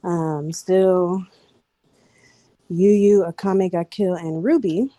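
A woman from Houston with a soft voice, talking casually in a group voice chat. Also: on the low side, fairly even intonation, slow, dry recording. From Houston, Texas.

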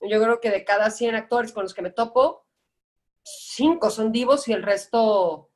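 A young adult woman from Guadalajara with a Mexican Spanish accent, a guest actress talking in a casual podcast interview, thoughtful and measured with hesitant starts. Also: a little high, darkish tone.

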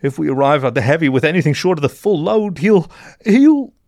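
A man's voice: fairly high pitch, lively intonation, a little fast, a little mellow, no room sound, clean studio sound, voice pushed up. A man voicing a nervous, tearful mole drug runner in a story, stammering and pleading. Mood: distraught, tearful. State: sobbing.

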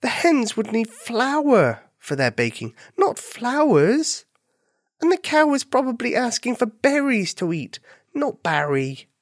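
A woman voicing a gentle female rabbit in a children's story, soft-spoken and reassuring. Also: a little high, sing-song, takes it slowly, no room sound, studio quality, pitched above the performer's own voice, full character voice. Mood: gentle, explaining. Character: gentle female rabbit, a kind, helpful friend.

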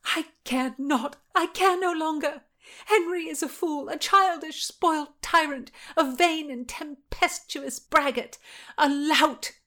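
A woman voicing a frightened yet defiant, passionate young pregnant noblewoman in a period audiobook, pleading and at times breathy. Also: high-pitched, takes it slowly, a bit bright, pitched above the performer's own voice.

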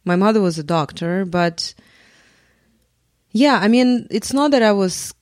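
A woman in her forties with a Russian accent, a guest on a podcast, speaking thoughtfully with frequent pauses and ums. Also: mid-range voice, expressive, a little mellow, dry recording, clean studio sound. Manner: thoughtful, hesitant with many ums. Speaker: guest artist and professor. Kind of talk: podcast interview.

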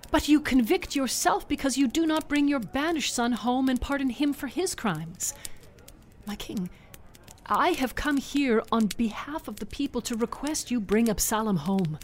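A man voicing a shrewd, pleading widowed village woman in a storybook retelling, with a soft, breathy voice. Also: very high voice, a little hiss or hum, pitched above the performer's own voice. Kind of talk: storybook narration.

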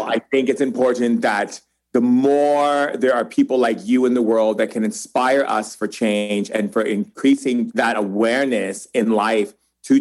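A man hosting a podcast, talking in an effusive, emphatic way as he introduces and interviews a guest. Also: mid-range voice. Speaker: podcast host.

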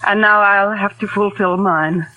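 A woman's voice: mid-range voice, fairly even intonation, a little slow, sharp tone. An actress playing a tense, upset woman in a 1940s radio detective drama. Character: tense, upset fiancée of a murdered man. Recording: dull, lo-fi 1940s radio recording.

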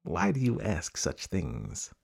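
A man voicing a sly, menacing crocodile in a storybook, coaxing his prey in a quiet, sinister way. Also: sits low, huge pitch swings, slow pace, gravelly, breathy edge, heavily acted.

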